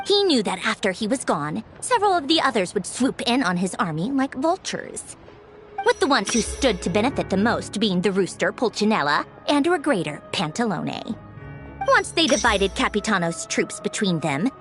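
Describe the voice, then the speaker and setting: A sharp, dismissive game engineer boss; brisk and wry, pitch moves a lot. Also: female voice. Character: sharp-tongued female Fatui harbinger engineer, bossy. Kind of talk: game voice acting. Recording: game dialogue over soft music.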